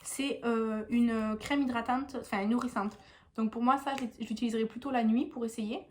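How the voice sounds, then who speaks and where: A woman's voice: on the high side, steady tone, moderate pace, a little mellow, slight background noise. A young woman with a stuffy nose from a cold, chatting casually in a meandering beauty vlog at home, full of hesitations. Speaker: beauty vlogger.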